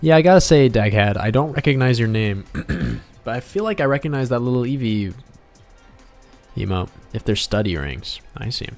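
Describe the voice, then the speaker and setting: A man's voice: mid-range voice, expressive, normal speed, darkish tone. A man hosting a casual livestream while he draws, bantering and joking with viewers as a game developer. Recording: music playing behind the voice. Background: background music.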